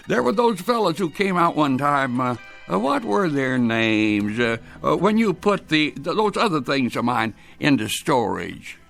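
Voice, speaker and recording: A man's voice: medium pitch, very animated, measured pace, vocal fry, hardly any pauses, fairly bright tone, soft background music, full character voice. A man playing a frail, anxious old grandfather in a radio drama, hesitant and stammering in a fussy way. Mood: pleased, scheming. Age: older. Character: frail, anxious elderly grandfather obsessed with his clock.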